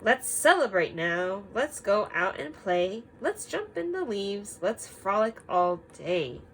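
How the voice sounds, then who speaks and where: A woman voicing an excited, grateful bear from a children's storybook, loud and exclaiming. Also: mid-range voice, takes it slowly, slight background noise, full character voice, rough recording. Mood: joyful, excited.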